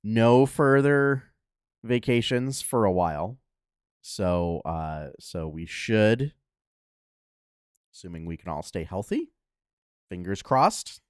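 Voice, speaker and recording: Podcast host: young man, low voice, unhurried, stuffy-nosed, dry close mic. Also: lively intonation, smooth, dry recording. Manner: laid-back, many fillers and restarts. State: slightly nasal from a cold. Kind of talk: casual gaming news chat.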